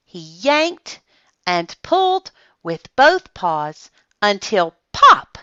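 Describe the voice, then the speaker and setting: A woman reading a children's story aloud, with dramatic pauses and deliberate emphasis. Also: medium pitch, very animated, bright, forceful tone, no room sound, muffled recording. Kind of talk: expressive children's storybook narration.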